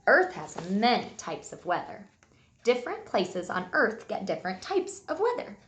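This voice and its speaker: A woman teacher reading aloud and giving a simple lesson to young children, enunciating key words deliberately. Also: mid-range voice, sing-song, fairly bright tone, slight background noise. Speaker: early elementary school teacher. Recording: echoey room sound.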